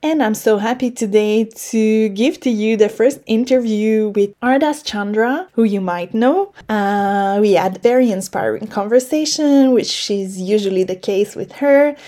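A woman hosting a spiritual podcast, speaking gently and with enthusiasm. Her voice is mellow and warm, pitched in a middle range. Her phrasing is slightly non-native. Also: unhurried, hardly any pauses. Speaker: podcast host. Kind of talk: podcast interview, reading a guest introduction.

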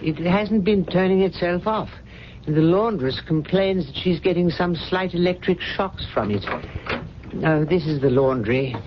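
An actor playing an ailing, devoted older butler in a radio drama, formal, deferential and hesitant. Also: male voice, high-pitched, unhurried. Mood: polite, reserved. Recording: dull, noisy 1970s radio broadcast.

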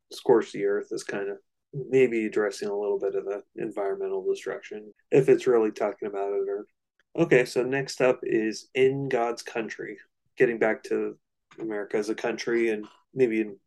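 A man co-hosting a casual music discussion, analytical and somewhat halting as he thinks aloud. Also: fairly low pitch, level tone, darkish tone, poor audio. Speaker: co-host of a music discussion show.

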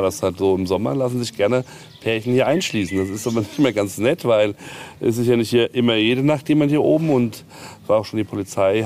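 Low-voiced man, halting informal tour guide. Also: a little mellow. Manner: hesitant, halting explanations with many ums. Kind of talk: informal guided tour chat, explaining history. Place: outdoors on castle grounds. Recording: noisy outdoor location recording.

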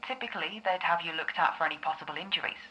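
A woman playing a calm, reassuring therapist in an audio drama, speaking in a measured, explanatory way. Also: on the low side, rough recording. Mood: calm, explaining. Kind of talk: scripted audio drama dialogue.

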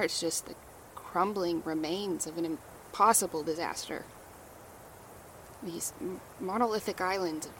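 Woman doing a desperate young woman, urgent and pressing, faint noise. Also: lowish voice. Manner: urgent, explaining, losing memory. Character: woman named Claire, desperate traveler between worlds. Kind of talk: horror audio drama.

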